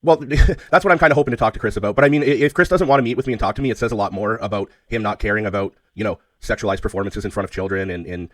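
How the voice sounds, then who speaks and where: A man making a phone call, speaking in a halting, hesitant way, polite but persistent. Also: fairly low pitch, fairly bright tone, dry recording. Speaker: caller, self-described media interviewer. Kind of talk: phone conversation.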